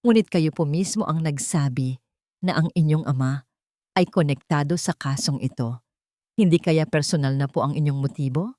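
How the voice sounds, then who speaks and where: A woman's voice: low-pitched, moderate pace, mellow, no room sound, studio quality, natural voice. A woman storyteller voicing a polite but challenging defense attorney with a formal, probing manner in an audio drama.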